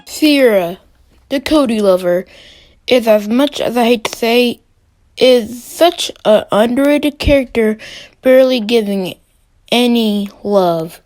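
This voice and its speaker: A woman with a fairly high voice hosts a ranking video. She talks informally and emphatically, delivering opinionated commentary as she works through her list. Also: expressive, takes it slowly, a little mellow. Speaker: host of a fan ranking video.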